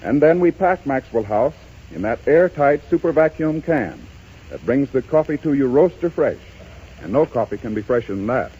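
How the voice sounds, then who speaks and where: Husky, animated American radio pitchman. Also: moderate pace. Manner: persuasive, emphatic. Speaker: commercial announcer. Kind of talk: 1930s radio advertisement, scripted. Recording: muffled, rough 1930s radio recording.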